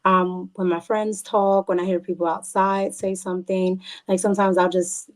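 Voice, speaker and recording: A woman's voice: mid-range voice, little pitch movement, normal speed. A woman artist and songwriter chatting casually as a guest in an interview. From Cuban background.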